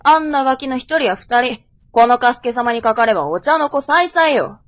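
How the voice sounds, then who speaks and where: A woman performs a brash young male crow in a radio play. The voice is high and very animated, with rough boyish phrasing and a cocky temper. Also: a little fast. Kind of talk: Japanese radio drama acting.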